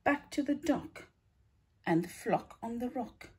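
A woman reading a rhyming children's picture book aloud in a theatrical, deliberate way, stretching words and hushing the ends of lines. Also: a little high, animated, slight background noise. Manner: theatrical, deliberate storytelling with drawn-out words and breathy hushed line endings. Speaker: storybook reader, teacher.

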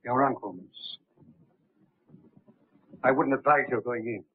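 An actor in a 1930s film playing a deferential, discreet elderly household butler. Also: male voice, mid-range voice, measured pace, slightly rough. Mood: grave. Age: older. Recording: thin, narrow-band 1930s film soundtrack.

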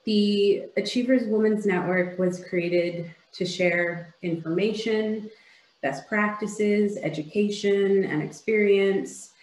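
A woman's voice: medium pitch, slow pace, darkish tone, echoey room, low-quality recording. A woman from Ontario with a Canadian accent, giving a polished, upbeat keynote over a video call. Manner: polished, upbeat presenting with occasional fillers. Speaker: keynote speaker.

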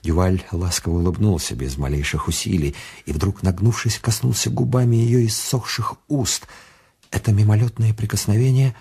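An actor playing the narrator of a radio detective drama, with a measured, literary delivery. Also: male voice, fairly low pitch, mellow, slightly rough.

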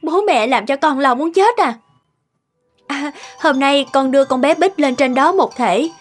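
A woman's voice: high voice, animated, a little slow. A woman playing an anxious, insistent middle-aged daughter and mother in a cartoon, fretful and questioning. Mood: relieved. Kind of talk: cartoon dialogue acting.

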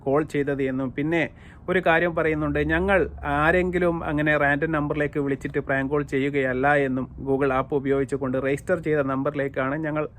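Higher-voiced Malayali man giving emphatic commentary. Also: level tone, quick pace, a bit bright, slight background noise. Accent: Malayali, from Kerala. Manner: emphatic, repetitive, addressing listeners directly. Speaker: YouTube commentator. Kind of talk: opinionated commentary to viewers.

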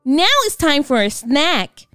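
A woman voicing an excited young girl detective in a storybook read-aloud, with a high, squealing, eager voice. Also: slow, no room sound, clean studio sound, soft background music, heavily acted.

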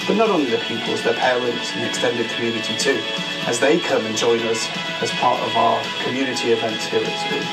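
Earnest man over loud music. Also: mid-range voice, rough recording. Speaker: head teacher. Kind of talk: interview in a school promo film. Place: school office, played on a hall screen. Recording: film played with loud music behind.

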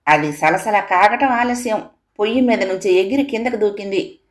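A woman's voice: medium pitch, normal speed. A woman narrating a scripted moral folk tale as a storyteller.

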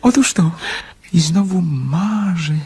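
Slow-spoken, lonely male dreamer. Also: high voice, a little mellow, breathy edge, full character voice. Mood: dreamy, lyrical. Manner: hesitant, halting, theatrical. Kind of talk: Polish radio drama acting.

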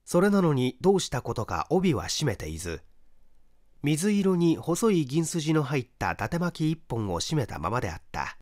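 A man reading a mystery story aloud as dramatic narration, measured and expressive with deliberate pauses. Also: on the high side, dry recording, studio quality. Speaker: story reader, stage actor.

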